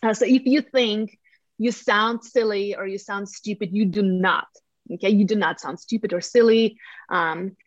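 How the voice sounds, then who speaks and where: A woman's voice: medium pitch, steady tone, normal speed, no room sound, muffled recording. A woman from Latvia with a Latvian accent, a guest chatting frankly and cheerfully over a video call, calling her listeners friends. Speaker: guest, real estate agent. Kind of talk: casual podcast chat over video call.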